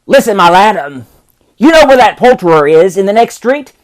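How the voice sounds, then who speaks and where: A man's voice: high-pitched, big pitch swings, moderate pace, voice pushed up, rough recording. A man doing a cackling, giddy old miser. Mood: gleeful. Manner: cackling, exclaiming.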